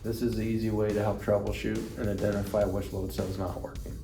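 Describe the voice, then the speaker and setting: A man's voice: fairly low pitch, level tone, a little fast, creaky, warm tone. A man giving an instructional product demonstration, explaining things plainly and step by step. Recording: roomy echo, distant mic, music underneath.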